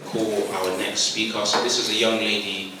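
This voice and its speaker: A man's voice: on the low side, level tone, moderate pace. Man, conference host, hesitant, roomy hall sound, far mic. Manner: hesitant, repeats words, encouraging. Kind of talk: hosting a live event. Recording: echoey hall, far mic, crowd noise.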